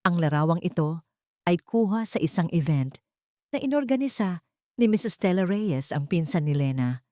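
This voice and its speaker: A woman's voice: on the low side, animated, moderate pace, mellow, dry recording, close to an everyday voice. Firm billionaire man, as a woman narrator plays him, formal, protective, declarative, dry sound, romantic drama. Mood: firm, defiant. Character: protective male billionaire defending his beloved, resolute.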